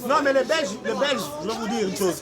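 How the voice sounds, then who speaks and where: A man's voice: very high-pitched, quick pace. A Congolese man living abroad, speaking insistently in a heated street interview and repeating his points with emphasis. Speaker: interviewee at a protest. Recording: loud crowd behind.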